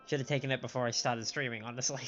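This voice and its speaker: A man's voice: mid-range voice, fairly even intonation, racing, a bit bright. A man giving casual live commentary as he streams a game. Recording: dull band-limited stream audio, music behind.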